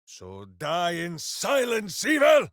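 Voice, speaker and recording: Casting: boisterous, earnest berserker hero, fantasy game; unhurried delivery, wildly swinging pitch. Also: male voice, wildly swooping pitch, fairly bright tone, no room sound, studio quality, exaggerated voice. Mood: battle fury. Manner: bombastic, earnest, talks of himself in third person. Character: big, simple, good-hearted berserker hero, boisterous and heroic. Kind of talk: fantasy video game voice acting.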